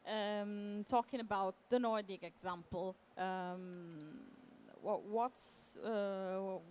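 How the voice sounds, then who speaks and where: A woman giving a semi-improvised conference presentation as a literary translator, halting and often repeating words as she searches for phrasing. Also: medium pitch, darkish tone. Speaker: literary translator, panel speaker. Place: live talk at a book fair event. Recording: clear background noise.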